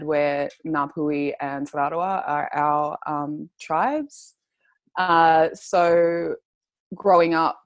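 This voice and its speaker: A young Australian woman guest, speaking earnestly and searching for words, with many pauses and ums. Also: deep voice, no room sound. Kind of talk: reflective personal storytelling.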